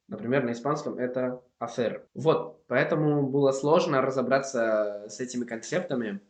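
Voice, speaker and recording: A man's voice: mid-range voice, echoey room. A young man from Spain with a Spanish accent, speaking Russian as a learner in an earnest, encouraging vlog. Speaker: language learning vlogger. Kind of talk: casual vlog talk to camera.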